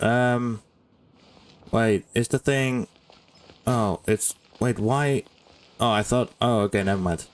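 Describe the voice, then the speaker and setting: A streamer playing a game and giving casual, informal, rambling commentary. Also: mid-range voice.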